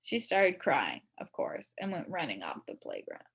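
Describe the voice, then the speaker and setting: A woman reading a children's story aloud in the first person as a kid, expressively, with dramatic emphasis and drawn-out words. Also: extremely low pitch, huge pitch swings, vocal fry, fairly bright tone, breathy edge. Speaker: storybook reader.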